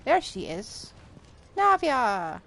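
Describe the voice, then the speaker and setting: A woman giving casual, expressive live commentary as she plays a game, in a playfully exasperated way. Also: high voice, very slow, gravelly. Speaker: game livestreamer. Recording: music playing behind voice. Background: game music.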